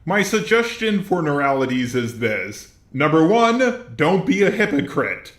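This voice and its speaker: A man's voice: a little high, big pitch swings, a little slow, fairly bright tone, roomy sound. A man delivering scripted video commentary as a narrator.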